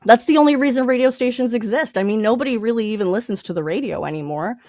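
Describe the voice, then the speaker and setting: A woman's voice: fairly high pitch, quick pace, a little mellow, no echo, clean studio sound. A woman guest in a casual podcast interview, explaining things emphatically and repeating her key points. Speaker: podcast guest, independent music artist.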